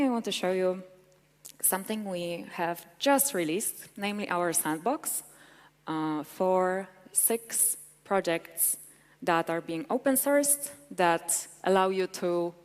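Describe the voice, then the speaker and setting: Eager woman in a hall. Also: mid-range voice. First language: Polish. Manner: eager, chatty presenting with fillers. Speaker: conference speaker. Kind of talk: tech conference talk and Q&A. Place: large conference hall. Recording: reverberant conference hall.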